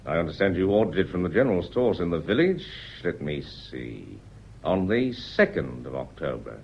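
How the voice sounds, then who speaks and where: An actor playing a shrewd police inspector in a radio drama, speaking deliberately and courteously while he probes. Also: deep voice, very animated, gravelly, slight background noise, exaggerated voice. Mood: firm, insistent. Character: shrewd, politely relentless male police inspector. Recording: dull, muffled old radio recording.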